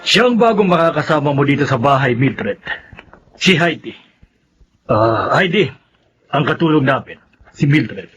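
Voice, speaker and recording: An actor playing a kindly, cautious household employer in a Filipino radio drama. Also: male voice, medium pitch, sing-song, a little slow, pauses often. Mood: sympathetic. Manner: questioning, considerate. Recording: slightly dull audio, faint music.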